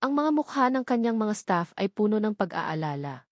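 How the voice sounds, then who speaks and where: A woman with a Filipino accent reads a dramatic romance story as its narrator. Her pitch sits in the middle of the female range, and the sound is very dry, with little room around it. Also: sing-song, moderate pace, no room sound. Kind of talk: dramatic scripted narration of a Tagalog love story.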